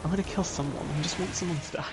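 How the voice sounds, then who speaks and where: A man's voice: on the high side, rapid-fire. A man chatting casually over a game with friends, with playful trash talk. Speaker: player in voice chat. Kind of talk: casual gaming chat.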